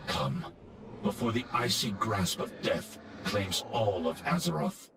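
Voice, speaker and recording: Low male voice, grim undead knight, solemn, portentous, fantasy game, distant over noisy ambience. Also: animated, a little slow, creaky. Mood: grave, urgent. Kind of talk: fantasy video game voice acting.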